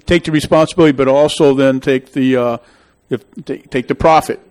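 A man's voice: medium pitch, fairly even intonation, a little fast, darkish tone. A middle-aged man hosting a public meeting, rambling and emphatic as he gives his opinion. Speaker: local official hosting a public meeting show. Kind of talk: town meeting discussion, opinionated.

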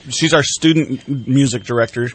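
A man's voice: medium pitch, brisk, low-quality recording. A man who directs a choir, chatting on a radio show and dropping dry, teasing quips. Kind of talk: casual radio interview. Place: crowded radio studio.

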